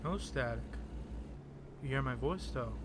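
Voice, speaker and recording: A soft-spoken man chatting casually and hesitantly while streaming a game, talking into a desk microphone. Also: medium pitch, lively intonation, unhurried, noisy background. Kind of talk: casual live-stream chat while playing. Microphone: desk microphone on a computer.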